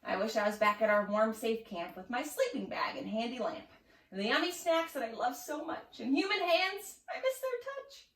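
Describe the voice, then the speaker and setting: Woman voicing a whiny, dramatic tomcat, high-pitched and echoey. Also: lively intonation, normal speed, a bit bright. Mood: despairing. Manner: whiny, theatrical complaining. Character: fussy, dramatic male pet cat on an adventure. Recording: echoey room, distant mic.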